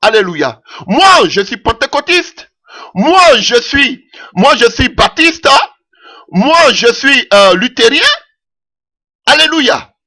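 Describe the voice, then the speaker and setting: High, bright-voiced impassioned preacher man. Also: sing-song, slow pace. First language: not French. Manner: impassioned preaching with frequent "Alléluia" interjections. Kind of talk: sermon.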